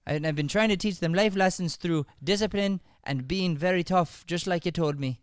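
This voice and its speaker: A man acts out a flustered male schoolteacher with an Irish accent, scolding and self-justifying in comic radio drama. His voice sits fairly high and sounds dry and close. He repeats himself in exasperation. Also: moderate pace, clean tone, no room sound, lightly acted. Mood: defensive. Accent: Irish, put on. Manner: stammering, repetitive, exasperated. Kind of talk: improvised radio-play comedy.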